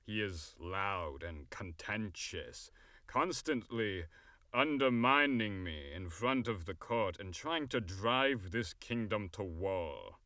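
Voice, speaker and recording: A man playing a weary, cunning fairy king in an audio drama, speaking formally and deliberately. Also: lowish voice, animated, pushed and bright, dry recording, clean studio sound, exaggerated voice. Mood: exasperated.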